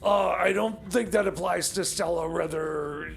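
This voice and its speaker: A man's voice: high voice, measured pace, vocal fry. A man co-hosting a comedy radio show, playing a flustered, eager bunker sidekick who stammers and hesitates. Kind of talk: improvised comic banter.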